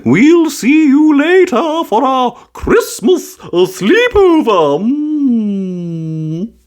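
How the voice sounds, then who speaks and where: A woman's voice: high voice, sing-song, very slow, darkish tone, pitched above the performer's own voice, full character voice. A woman voicing a fond, fussy old grandmother pig who trails off in little hums. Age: older.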